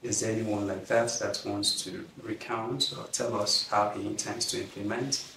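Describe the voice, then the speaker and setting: A man's voice: lowish voice, fairly even intonation, bright, forceful tone. Earnest man teaching in an echoey room. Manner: earnest, drawn-out emphasis, gracious thanks. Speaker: class instructor. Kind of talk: online class leadership teaching. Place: plain indoor studio backdrop. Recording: echoey, somewhat distant mic, faint noise.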